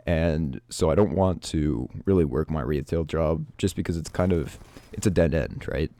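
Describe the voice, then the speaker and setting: Teen male vlogger, very low pitch, mellow dark tone, raspy and congested from a cold, hesitant delivery. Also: normal speed, dry recording. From Canada. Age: teens. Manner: hesitant, many restarts and fillers. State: sick, stuffed up, raspy. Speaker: YouTuber. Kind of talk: reflective advice vlog.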